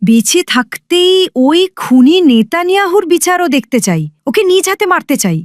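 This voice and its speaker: Give me an actress doing an excitable cartoon refugee woman, high and swooping, fervent. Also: normal speed, no echo, studio quality. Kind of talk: cartoon story dialogue.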